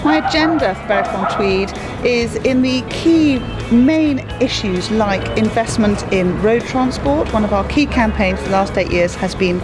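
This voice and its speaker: A woman who is a parliamentary candidate, speaking forcefully and emphatically in a campaign video, recorded outdoors. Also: fairly high pitch, lively intonation, moderate pace, few pauses. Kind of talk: campaign video, addressing camera. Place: outdoors, village and town. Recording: music bed behind.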